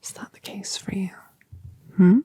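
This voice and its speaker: A woman's voice: unhurried, dry recording, lightly acted. Woman whispering as a doting mother dragoness. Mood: tender. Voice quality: soft whisper, breathy. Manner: cooing, affectionate, asks gentle questions. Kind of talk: whispered ASMR roleplay.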